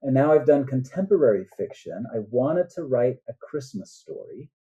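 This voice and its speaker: Older guy author chatting as a guest, really mellow dark voice, kinda dull lo-fi sound. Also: medium pitch, expressive, normal speed. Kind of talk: casual interview chat.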